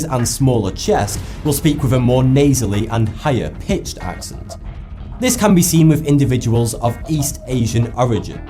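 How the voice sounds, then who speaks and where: A man with a West Lancashire accent giving scripted educational narration, with lively delivery and wry asides. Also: medium pitch. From England. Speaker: narrator of an explainer video.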